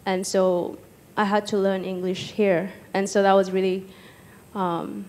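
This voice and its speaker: A young woman from Egypt speaking hesitantly as a panellist on stage, pausing often, into a handheld microphone. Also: medium pitch, little pitch movement, darkish tone. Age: young adult. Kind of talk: personal account in a panel discussion. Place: stage in an auditorium with audience.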